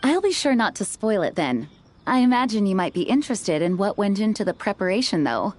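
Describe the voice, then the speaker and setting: A woman's voice: mid-range voice, big pitch swings, brisk, a little mellow, faint music behind, natural voice. An actress playing a composed, gracious young female knight leader in a video game, formal and poised.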